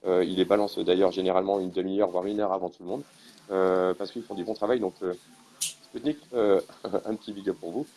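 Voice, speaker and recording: Fast-talking low-voiced male host. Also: steady tone, a little mellow. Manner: rambling with many restarts and fillers. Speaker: live stream host. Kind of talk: casual live stream interview.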